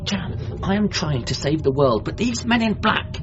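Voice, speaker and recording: A man's voice: high voice, very animated, moderate pace, dull audio, over music. An actor playing a frantic, paranoid son who earnestly believes he must save the Earth, in a radio comedy drama. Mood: agitated, insistent. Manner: earnest, conspiratorial, self-deprecating. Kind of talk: radio comedy drama, first-person narration and dialogue.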